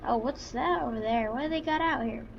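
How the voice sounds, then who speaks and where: A woman doing casual, halting gameplay roleplay commentary for videos, in an informal, unscripted way. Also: high voice, gravelly. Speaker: gaming YouTuber. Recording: dull lo-fi mic, faint background noise. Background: vehicle engine sounds from the game.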